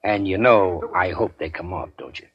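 An actor playing a blunt, menacing gangster henchman in a 1940s radio drama, gravelly and deliberate. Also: sits low, muffled recording, a crowd in the background, natural voice. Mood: quietly threatening.

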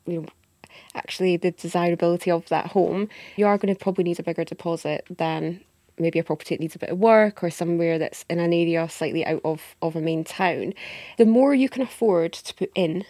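A woman with a Scottish accent, a guest expert answering interview questions in a steady, explanatory way with small restarts. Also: fairly low pitch, a little fast, no echo, clean studio sound. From Scotland.

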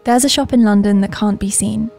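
An actress playing an earnest young shop assistant in an audio drama, confiding her story in a hushed, reflective way. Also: medium pitch, fairly even intonation, fairly quick, vocal fry, soft background music. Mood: warm, welcoming. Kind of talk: intimate first-person audio drama monologue.

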